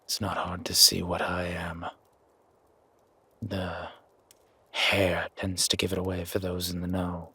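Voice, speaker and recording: A man playing a gruff, wary but grudgingly kind werewolf in a roleplay audio drama, with a raspy, growling, half-whispered voice and terse, brooding delivery. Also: low voice, completely flat delivery, pushed and bright, heavily acted. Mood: suspicious, probing, then resigned. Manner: slow, brooding, terse commands.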